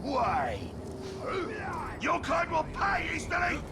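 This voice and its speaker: A man's voice: very high voice, huge pitch swings, slow, vocal fry, very noisy, exaggerated voice. A man voicing a fierce, hostile orc fighter in a fantasy video game, taunting his foes. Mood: defiant, furious. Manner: shouted, taunting. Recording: loud game music behind the voice.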